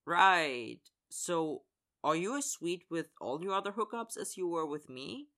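A man's voice: mid-range voice, lively intonation, normal speed, pushed and bright. A man playing a dry, guarded young hockey player. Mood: bitter. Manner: dry, curt.

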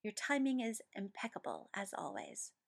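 A woman voicing a guarded male officer in a read-aloud story, speaking quietly and carefully. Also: mid-range voice, wildly swooping pitch, normal speed, gravelly, heavily acted. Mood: dry.

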